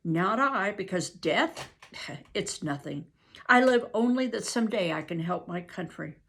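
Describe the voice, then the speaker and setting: A woman's voice: medium pitch, animated, a little slow, creaky, a bit bright, breathy edge, heavily acted. A woman reading aloud, voicing a desperate young male soldier who pleads earnestly. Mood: pleading.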